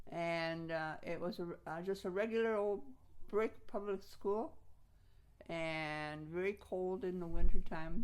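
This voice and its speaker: An older woman from Slovakia, a native Slovak speaker, casually reminiscing in an interview in a halting way, often repeating her phrases. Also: lowish voice, clear, resonant tone. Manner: halting, repeats phrases, chuckles while recalling.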